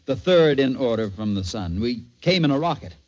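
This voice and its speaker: A man's voice: medium pitch, very animated, moderate pace, a little mellow, close to an everyday voice. Exasperated rocket captain male actor, emphatic and commanding, mid-range voice, old radio drama with dull, noisy sound. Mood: earnest, baffled. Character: proud, exasperated rocket captain from Earth, craving recognition.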